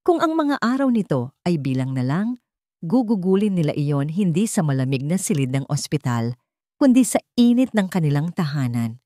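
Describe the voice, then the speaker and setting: Low-pitched woman narrating with very lively intonation. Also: moderate pace, dry recording, clean studio sound. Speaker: story narrator. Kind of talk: dramatic scripted story narration.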